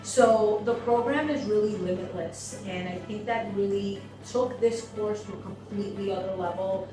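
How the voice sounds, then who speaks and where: A woman who owns a business, giving a thoughtful testimonial with many ums and pauses. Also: medium pitch. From United States. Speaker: seminar attendee, business owner. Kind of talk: testimonial interview. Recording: echoey room, mic far off, music behind.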